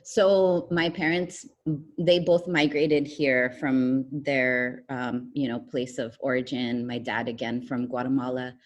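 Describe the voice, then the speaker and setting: Low-voiced woman, chatty and filler-heavy. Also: level tone, takes it slowly. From United States. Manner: rambling, many fillers like "um" and "like". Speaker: guest. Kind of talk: casual interview conversation.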